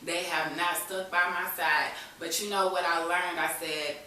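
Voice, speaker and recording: A woman with a low, bright voice gives candid personal testimony as a guest in an interview. She sounds resolute and conversational. The sound is distant and strongly reverberant, as if caught far from the microphone. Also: steady tone, unhurried. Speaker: talk show guest in recovery. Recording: very echoey room, distant mic, hiss.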